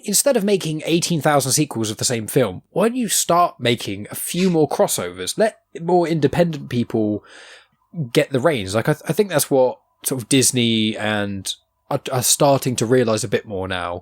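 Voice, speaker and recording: Enthusiastic man hosting a chat. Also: mid-range voice. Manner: enthusiastic, opinionated, rambling with restarts. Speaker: podcast host. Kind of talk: casual chat.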